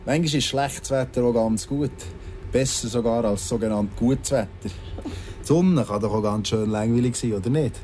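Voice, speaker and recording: An actor with a Swiss German accent playing a bitter ex-convict in his thirties in a radio play, halting, repetitive and sardonic. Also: medium pitch, warm tone, a little hiss or hum, natural voice. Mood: wry, evasive. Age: 30s. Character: brooding ex-convict in his thirties, embittered, obsessed with justice. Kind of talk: radio play dialogue and monologue.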